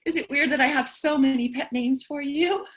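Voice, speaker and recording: A woman playing a lonely, chatty, excitable apocalypse survivor in a theatrical comic staged reading. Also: sits high, moderate pace, close to an everyday voice. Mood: affectionate. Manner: theatrical, chatty, swings between outbursts and cheer.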